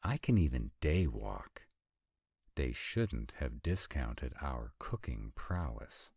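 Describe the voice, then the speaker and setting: Male actor as sardonic vampire, audio roleplay, husky rumble, unhurried, exasperated. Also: very animated, darkish tone, dry recording, clean studio sound, heavily acted. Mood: puzzled, proud. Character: grumpy male vampire mate, sardonic and proud. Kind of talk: scripted roleplay audio drama.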